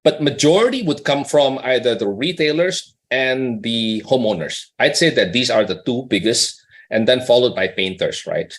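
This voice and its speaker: A man's voice: medium pitch, expressive, moderate pace. A man speaking as a company executive on an earnings call, explaining in a conversational way with rhetorical questions and check-ins with the listener.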